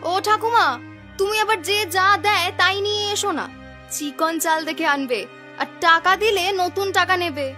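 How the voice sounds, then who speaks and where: A woman voicing a spoiled, sharp-tongued young granddaughter who sneers and talks back in an animated folk tale. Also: very high voice, moderate pace, faint music behind. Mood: demanding. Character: spoiled, insolent, ambitious young village granddaughter.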